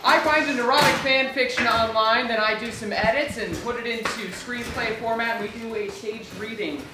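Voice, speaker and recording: Casual comedy host and stage narrator, amused delivery, distant mic, loud background noise. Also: medium pitch, moderate pace. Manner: amused, often breaking into laughter. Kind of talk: casual intro and comic script narration. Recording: echoey, very noisy room, off mic.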